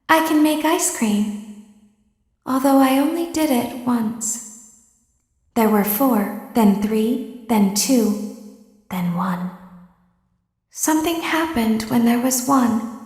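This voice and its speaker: A woman voicing a calm, cryptic female robot clown in a fan-made game dub, speaking in a measured way. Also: high voice. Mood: wistful. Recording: echoey with long reverb.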